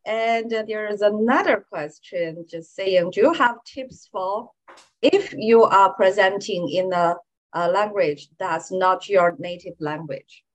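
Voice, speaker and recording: A woman hosting a livestream interview from indoors, speaking slowly and evenly. She reads out viewer questions and laughs often. She is recorded on a laptop mic over a video call. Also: medium pitch, poor audio. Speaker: interview host.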